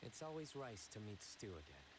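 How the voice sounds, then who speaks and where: A man playing a composed, dry-humored investigator in a game, speaking in a measured, deadpan manner. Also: on the low side, slight background noise. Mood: smug.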